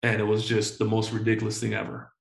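A man in his forties telling stories in a casual interview, full of slang and swearing, excitable and often repeating himself. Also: fairly low pitch, fast, vocal fry, echoey room. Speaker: entrepreneur guest.

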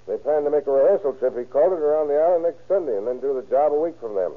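An actor playing a plain-spoken, cautious boat captain in an old radio crime drama. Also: male voice, fairly high pitch, expressive, racing, natural voice. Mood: matter-of-fact. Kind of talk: 1930s-40s radio crime drama acting. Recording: muffled, scratchy old-time radio recording.

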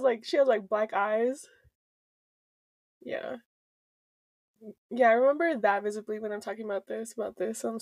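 Young woman podcaster, kinda high voice, rambles w lots of likes and ums, cusses, flips into spanish, dry close sound. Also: a little mellow, no echo. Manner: rambling, filler-heavy, swears freely, laughs often; switches between English and Spanish mid-sentence. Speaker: podcast co-host. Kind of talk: casual podcast chat.

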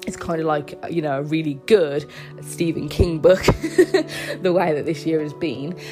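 A woman's voice: deep voice, lively intonation, measured pace, darkish tone, faint music behind. Stuffy-nosed woman hosting a podcast. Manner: earnest, reassuring, with small laughs. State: stuffy from a cold. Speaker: podcast host. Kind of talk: casual solo podcast talk.

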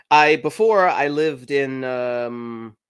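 Brief: German-raised male streamer, halting interview chat on a call. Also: mid-range voice, fairly bright tone, clean tone. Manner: halting, lots of restarts and fillers. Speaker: YouTuber and streamer guest.

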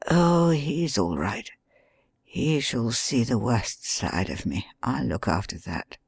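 Injured detective in a weak hoarse whisper, quietly commanding, put on by a male audiobook reader; dry close sound. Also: mid-range voice, sing-song, slow pace, no room sound, full character voice. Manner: weak muttering, urgent instructions. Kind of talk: audiobook reading.

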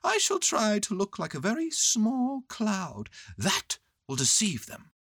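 A woman voicing an earnest, scheming, silly male toy bear from a children's storybook, with excitable, self-important pronouncements. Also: mid-range voice, measured pace, dry recording, studio quality, voice pushed up, heavily acted. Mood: confident.

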